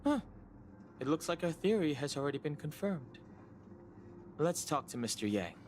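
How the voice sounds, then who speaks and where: A man playing a studious young man who explains things in a calm, dry, matter-of-fact way in a video game. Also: a little high, normal speed, a little hiss or hum. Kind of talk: anime-style video game voice acting.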